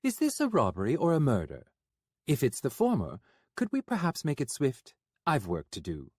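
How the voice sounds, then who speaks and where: A man voicing a nervous, prim male sorcerer, talking in a high, shaky, shrill way. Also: huge pitch swings, moderate pace, darkish tone, breathy edge, no echo, clean studio sound. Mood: flustered.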